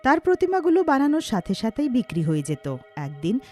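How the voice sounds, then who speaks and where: A woman narrates a scripted cartoon story. The delivery is animated, with a fairly high voice that moves around a lot, over faint music. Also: a little fast.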